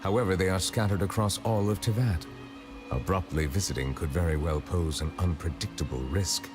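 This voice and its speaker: A man playing a courteous, solemn dragon in human form, a chief justice in a video game, speaking formally and with measured reserve. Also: low-pitched, close to an everyday voice. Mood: pensive. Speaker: game character. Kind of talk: scripted video game dialogue. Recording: game audio with background music.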